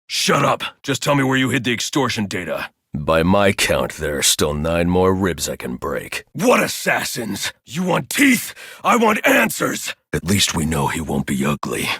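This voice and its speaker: An actor playing a grim, brooding, menacing masked vigilante detective in a video game, with a gravelly voice and a stern, terse, intimidating delivery. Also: male voice, low voice, wildly swooping pitch, measured pace, bright, forceful tone, no room sound, studio quality, full character voice. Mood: menacing, furious. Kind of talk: dramatic video game voice lines.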